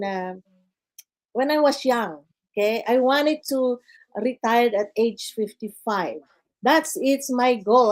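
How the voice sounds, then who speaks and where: Older filipino woman guest, slightly high voice, halting delivery with many fillers, chatty. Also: a little mellow. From Philippines. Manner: halting, many "uh" fillers, says "guys" and "okay" often. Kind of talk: casual interview storytelling.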